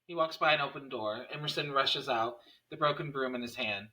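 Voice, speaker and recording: Bright-voiced man narrating a screenplay. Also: mid-range voice, a little fast, poor audio. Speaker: stage-direction narrator. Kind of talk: scripted narration at a table read.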